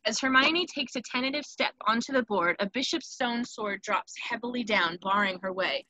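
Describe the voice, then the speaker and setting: A woman's voice: fairly high pitch, normal speed, pushed and bright, no room sound, muffled recording. A woman reading stage directions as the narrator of a scripted screenplay table read.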